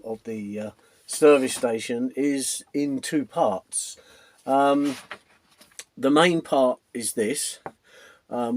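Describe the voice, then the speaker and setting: A man hosting a model-making channel, his voice croaky from a cold, walking through his build step by step in a casual way with plenty of ums. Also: mid-range voice, darkish tone. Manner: unhurried, many ums, explains while showing parts.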